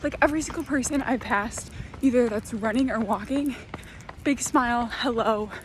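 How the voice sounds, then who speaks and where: A young woman vlogging to camera in a casual, upbeat way, full of "like" fillers, her voice hoarse and nearly gone. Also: a little high, moderate pace, a little hiss or hum. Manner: chatty, upbeat, lots of "like" fillers. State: almost lost her voice, hoarse. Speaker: travel vlogger. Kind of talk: casual vlog talk to camera.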